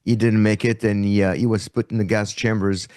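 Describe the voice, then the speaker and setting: A man in his sixties who grew up in France, a guest in an interview, speaking haltingly with many fillers. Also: on the low side, level tone, a little mellow, no echo, clean studio sound. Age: 60s. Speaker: guest, author and educator. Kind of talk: interview conversation.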